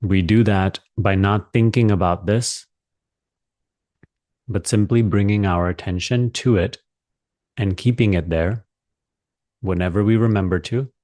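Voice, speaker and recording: A man leading a guided meditation as a teacher, speaking calmly and deliberately with long pauses. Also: sits low, no room sound, studio quality.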